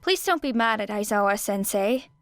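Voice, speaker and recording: A woman's voice: mid-range voice, expressive, moderate pace, clean tone, dry recording, lightly acted. A woman voicing a polite, slyly innocent teenage schoolgirl with a meek, pleading manner in an audiobook. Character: placid, apologetic teenage girl student, slyly innocent. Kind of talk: audiobook character acting.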